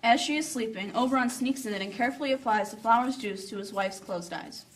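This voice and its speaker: A woman with a fairly high voice narrates a scripted story, dropping in playful asides. She sounds a little removed from the microphone, with faint noise behind her. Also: fairly quick. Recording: slightly distant, faint background noise.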